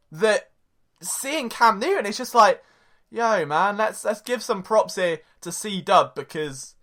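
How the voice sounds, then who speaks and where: A young man with a high voice hosting a solo sports podcast, rambling and excitable, swinging between loud and soft. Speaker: podcast host.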